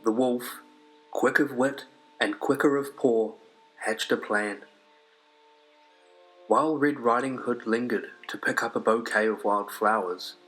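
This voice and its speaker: A man's voice: medium pitch, bright, forceful tone, rough recording. A man reading fairy tales aloud as a scripted storyteller. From New Zealand. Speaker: storyteller, narrator. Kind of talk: scripted fairy-tale read-aloud narration. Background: music.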